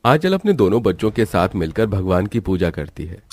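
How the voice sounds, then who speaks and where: A man's voice: low-pitched, big pitch swings, fairly quick, dry recording. A man reading scripted narration for a moral cartoon story.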